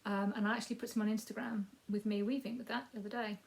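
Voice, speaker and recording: A woman in her fifties with a Yorkshire accent and a croaky voice, giving a rambling, casual crafting update with frequent ums. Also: mid-range voice, little pitch movement, rapid-fire. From Yorkshire, England. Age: 50s. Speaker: crafting podcast host.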